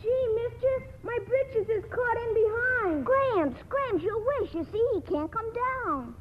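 A performer playing an eager little country boy in a 1940s radio drama, with excitable, folksy childish talk. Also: extremely high pitch, unhurried. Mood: earnest. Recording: tinny, noisy old radio broadcast.

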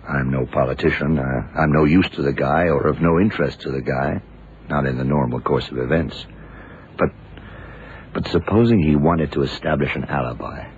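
Casting: laconic, deliberate radio-drama detective, bottom-of-the-register voice, dulled vintage sound. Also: male voice, very deep voice. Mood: pondering. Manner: deliberate, probing, questioning. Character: shrewd, laconic male detective puzzling out a murder. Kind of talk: 1960s-70s radio drama acting. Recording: muffled, noisy old radio recording.

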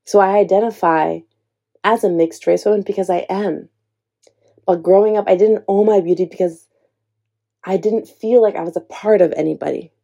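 Husky-edged warm woman talking earnestly. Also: on the low side. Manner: earnest, encouraging, addresses listener as sis. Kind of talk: heartfelt personal talk to camera.

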